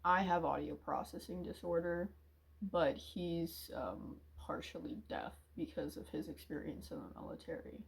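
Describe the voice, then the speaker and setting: A woman in a confessional monologue, talking hesitantly and emotionally with many restarts and sighs, sometimes dropping to a quiet low mumble. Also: moderate pace, creaky, slightly rough, low-quality recording. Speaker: YouTuber.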